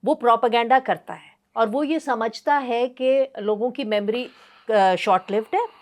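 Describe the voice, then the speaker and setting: A woman with a Pakistani accent hosting a conversational podcast discussion, phrasing her points in a measured, hesitant way. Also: fairly high pitch. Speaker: podcast host.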